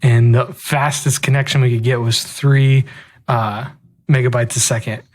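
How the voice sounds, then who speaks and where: A man with an average-pitched voice chats with his co-host on a podcast. He talks in an easygoing, loose way and fills his pauses with "um" and "like.". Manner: relaxed, easygoing, lots of "um" and "like". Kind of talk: casual chat.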